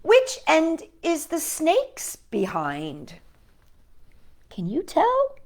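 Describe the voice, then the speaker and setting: A woman's voice: high voice, huge pitch swings, drawn-out words. A woman hosting a children's storytime, reading aloud and chatting playfully with young listeners, often asking them questions. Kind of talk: children's picture book read-aloud with chatty asides.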